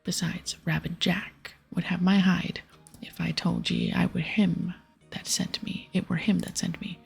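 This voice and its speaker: Girl doing a whispery breathy pirate captain for game asmr, creaky, boastful, lots of arr and ye. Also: lowish voice, level tone, measured pace, faint music behind, exaggerated voice. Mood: sly, scolding. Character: gruff, boastful old male pirate captain, fond of rum. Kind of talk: reading game dialogue aloud in ASMR.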